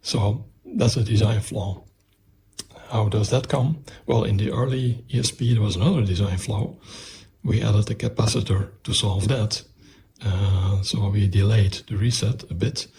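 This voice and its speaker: A man presenting a technical explanation of an electronics project in a halting, methodical, step-by-step way. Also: lowish voice, darkish tone, a little hiss or hum.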